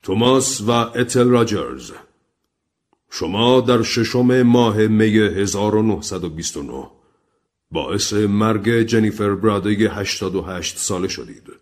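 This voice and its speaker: A man voicing a stern, solemn accuser who reads out charges slowly and declamatorily in an audio story. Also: fairly low pitch, animated, close to an everyday voice. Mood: solemn, accusing. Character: stern recorded accuser, male, solemnly pronouncing murder charges.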